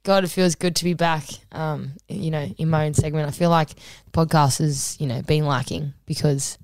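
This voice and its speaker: Woman co-host, husky and low, laid-back rambling delivery, dry close recording. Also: moderate pace, no echo. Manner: relaxed, rambling, many ums. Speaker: podcast co-host. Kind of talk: casual podcast chat.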